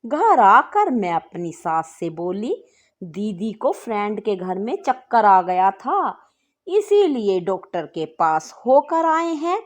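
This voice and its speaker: Slow, lively woman telling a story. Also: medium pitch. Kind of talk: first-person bedtime story narration.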